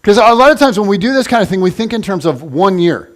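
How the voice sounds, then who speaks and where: A man in his forties preaches in a church in a chatty, joking way. His voice sits fairly high for a man. He often restarts his sentences and teases the congregation as he goes. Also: big pitch swings, fast, fairly bright tone. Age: 40s. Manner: conversational and joking, with many restarts. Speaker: pastor. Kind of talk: casual sermon.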